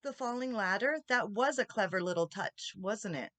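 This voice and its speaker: A woman's voice: medium pitch, animated, normal speed, pushed and bright, no echo. A woman voicing a cocky young boy prankster in a storybook, smug and dismissive. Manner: boastful, dismissive. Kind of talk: storybook character reading.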